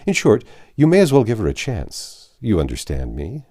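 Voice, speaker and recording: A man reading the lines of a pompous, calculating gentleman who urges his sister, in a low voice. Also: wildly swooping pitch, moderate pace, exaggerated voice. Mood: persuasive.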